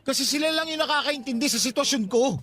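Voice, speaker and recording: A man's voice: extremely high pitch, fast talker, sharp tone. A man acting as a defensive, burdened grown son in an emotional radio drama. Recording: music playing behind the voice.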